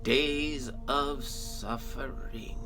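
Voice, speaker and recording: A performer voicing an anguished fallen patriarch in a dramatic scripture monologue, halting and theatrical, moving between breathy whispers and cries. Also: medium pitch, fairly even intonation. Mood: despairing. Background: music.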